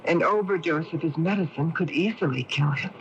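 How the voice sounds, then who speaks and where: An actress playing a treacherous, calculating Egyptian wife in a radio drama, speaking in a guarded, low-keyed way. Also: female voice, a little fast, slight background noise, natural voice. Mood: cool, calculating. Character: treacherous Egyptian wife coolly plotting her husband's death. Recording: muffled old AM radio broadcast.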